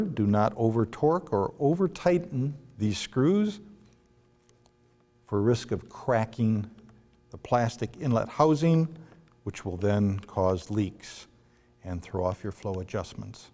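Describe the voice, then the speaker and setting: A man giving a step-by-step instructional demonstration in a deliberate, phrase-by-phrase way with firm instructions. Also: mid-range voice, dark tone. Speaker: product demonstrator. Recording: long reverberant room echo.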